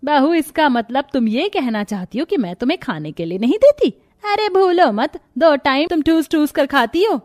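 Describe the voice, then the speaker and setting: Woman acting a stingy, nagging mother-in-law, high voice. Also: sing-song, moderate pace, darkish tone, no room sound, clean studio sound. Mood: angry scolding. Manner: nagging, accusatory. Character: stingy, scolding middle-aged mother-in-law, cunning and domineering. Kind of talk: Hindi cartoon moral-story dialogue.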